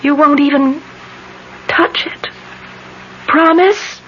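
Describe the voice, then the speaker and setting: A woman's voice: high voice, takes it slowly, a bit bright. An actress playing a frail, drowsy young woman who is wasting away, languid and weary, in a gothic radio drama. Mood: pleading. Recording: dull old radio drama, faint noise.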